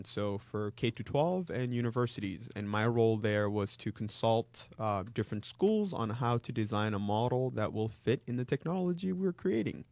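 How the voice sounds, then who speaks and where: A man in his thirties from the Democratic Republic of Congo, a guest speaking in a measured way with frequent ums. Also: fairly low pitch, dry recording. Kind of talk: interview conversation.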